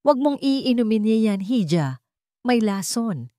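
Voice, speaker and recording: A woman voicing a meek elderly housemaid who speaks softly and deferentially with quiet urgency, in a narrated story. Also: fairly high pitch, very animated, unhurried, no echo, studio quality, voice pushed up. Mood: urgent warning. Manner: soft, hushed, deferential.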